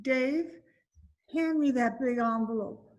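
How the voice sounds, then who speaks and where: A woman's voice: sits high, slow pace, muffled recording, faint music behind. A woman playing a faded, despairing former Broadway dancer and mother from Spain in an amateur radio drama, speaking with bitter drama. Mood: confiding, subdued. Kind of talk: amateur old-time radio drama acting. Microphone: video call recording.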